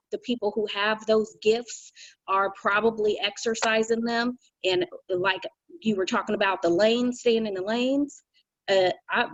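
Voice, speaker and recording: A woman's voice: mid-range voice, no echo. Earnest woman on a dull video call. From United States. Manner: earnest, thoughtful, many restarts and "you know". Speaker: community call participant. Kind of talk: casual reflective talk on a video call.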